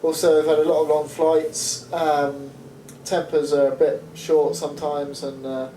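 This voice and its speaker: Male, mellow and fairly high voice, candid documentary talk. Roomy, distant pickup. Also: little pitch movement, unhurried. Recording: roomy, distant mic, faint noise.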